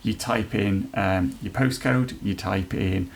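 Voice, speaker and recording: A man who leads an NHS trust's inclusion work, answering podcast questions in an earnest, halting way with many restarts. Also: fairly low pitch, creaky, a little hiss or hum. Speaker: NHS trust leader chairing an inclusion council.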